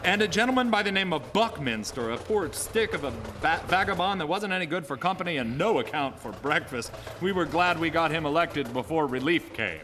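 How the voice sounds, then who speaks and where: A courtly, earnest madman of a gentleman, spinning his tale in a bright, highly animated voice. It is live radio drama, heard in a long theater reverb with some noise. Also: a little fast, hardly any pauses, faint music behind. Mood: earnest, matter-of-fact. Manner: courtly, formal, fond, theatrical storytelling. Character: genial mad old congressman, cheerfully recounting cannibalism, courtly and earnest. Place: theater stage before a live audience.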